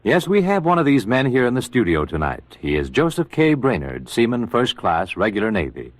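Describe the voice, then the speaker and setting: A man's voice: medium pitch, wildly swooping pitch, a little fast, no room sound. A man with an American accent interviewing as an announcer in a scripted 1940s radio style.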